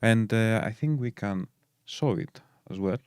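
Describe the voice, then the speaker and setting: A man's voice: on the low side, unhurried, smooth, no echo, studio quality. A man from Greece with a Greek accent, co-hosting a game stream and chatting casually with lots of fillers as he explains game details. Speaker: stream co-host.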